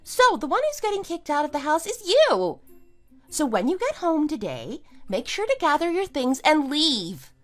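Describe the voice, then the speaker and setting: A woman's voice: high-pitched, huge pitch swings, normal speed, fairly bright tone, faint music behind, full character voice. A woman playing a scornful, triumphant wife in an audio drama, with a sarcastic, emphatic delivery. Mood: firm, accusing. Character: wronged wife, scornful and triumphant, kicking out cheating husband. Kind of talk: amateur audio drama acting.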